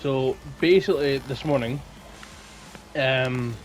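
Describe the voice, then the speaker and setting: A man chatting casually in a halting, digressive way. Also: mid-range voice, faint music behind. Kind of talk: casual chat. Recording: clearly noisy background.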